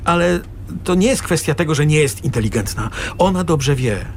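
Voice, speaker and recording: A man's voice: on the high side, animated, moderate pace, darkish tone, a little hiss or hum. An actor playing a sarcastic, exasperated middle-aged father arguing with his wife in a radio drama. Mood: dry, reproachful. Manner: sarcastic, argumentative.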